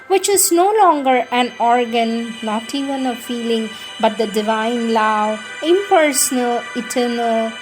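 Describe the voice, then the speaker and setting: A woman reading devotional prayers aloud in a measured, reverent and prayerful manner, as for an audiobook. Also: fairly high pitch, poor audio.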